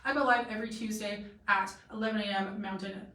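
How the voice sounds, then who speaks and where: A woman's voice: mid-range voice, fairly even intonation, a little fast, bright, forceful tone. A young woman from Canada hosting a casual live-stream try-on, talking in a chatty, rambling way with many fillers and a slightly sore throat. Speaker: boutique model and live-stream host. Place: boutique room with a clothing rack. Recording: echoey room, voice from a distance.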